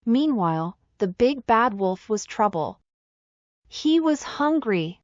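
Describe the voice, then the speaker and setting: A woman's voice: on the high side, big pitch swings, slow, darkish tone, no echo, dull audio. A woman reading a scripted children's fairy tale as the storyteller.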